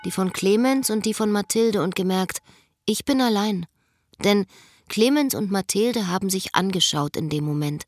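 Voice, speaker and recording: A woman's voice: mid-range voice, normal speed, no echo, clean studio sound, lightly acted. An actress playing a wistful, stubborn middle-aged woman in a German radio drama, speaking in terse, understated lines. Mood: reflective, wistful. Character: wistful, stubborn woman in love, former teacher, fifteen years older.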